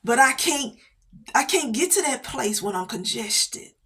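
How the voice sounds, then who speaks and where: Woman, faith speaker, somewhat high voice, repetitive preachy delivery with light laughs. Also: very animated, unhurried, a bit bright. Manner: preachy, repeats phrases for emphasis, laughs between points. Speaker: inspirational speaker. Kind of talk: motivational faith talk.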